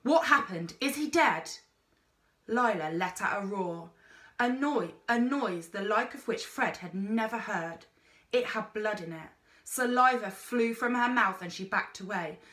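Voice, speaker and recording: A woman reading a children's story aloud in an expressive narrating style, like a teacher. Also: medium pitch, a little slow, echoey room.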